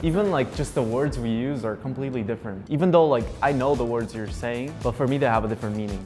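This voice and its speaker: A young man from Portugal with a European Portuguese accent, chatting casually in a relaxed, agreeable way. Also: mid-range voice, fast talker, music in the background. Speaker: conversation guest. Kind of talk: casual chat.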